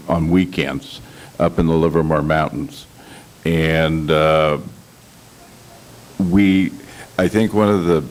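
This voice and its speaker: An older American man giving measured, halting remarks as a panel guest. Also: low-pitched, darkish tone. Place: storefront meeting room, live event.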